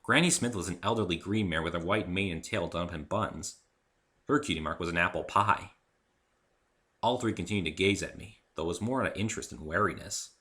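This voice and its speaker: A man's voice: low-pitched, brisk, pushed and bright. A man narrating a first-person story in a dramatic reading style. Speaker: author reading own story.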